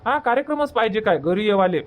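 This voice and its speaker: A man's voice: sits high, animated, quick pace. A man voicing an eager, teasing village son in a cartoon comedy, insistent and colloquial, with a Varhadi Marathi accent. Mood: coaxing.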